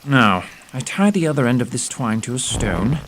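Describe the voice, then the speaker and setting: A man's voice: medium pitch, very animated, normal speed, noisy background, lightly acted. Man acting a precise, self-assured detective. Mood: brisk, intent. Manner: precise, formal, old-fashioned address. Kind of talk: audio theatre acting.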